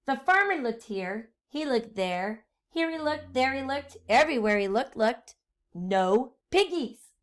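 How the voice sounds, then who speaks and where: A woman doing an expressive children's read-aloud, pausing the story to ask listeners questions. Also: high voice. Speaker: storytime reader, teacher.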